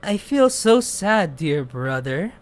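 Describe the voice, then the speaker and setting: A woman voicing a creepy, obsessively loving younger brother, speaking slowly in a courtly, ominously affectionate way over music. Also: lowish voice, sing-song, a little mellow. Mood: wounded, obsessive.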